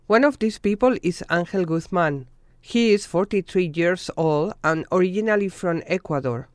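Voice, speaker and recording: A documentary narrator reading a scripted news report in a measured, formal way from a studio voice-over. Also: mid-range voice, a little mellow, smooth, no room sound.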